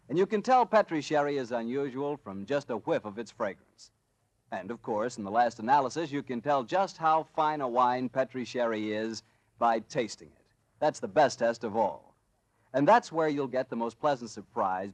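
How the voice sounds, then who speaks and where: Male American host for vintage radio sponsor spots, swooping emphatic delivery, dry studio sound, medium pitch. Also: no echo. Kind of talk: 1940s radio advertising and announcing.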